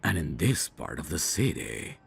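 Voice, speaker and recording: A man's voice: very deep voice, very animated, normal speed, gravelly, breathy edge, exaggerated voice. A man playing a suave, dangerous mafia boss in an ASMR roleplay, cocky, flirtatious and teasing. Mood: amused, concerned. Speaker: voice actor.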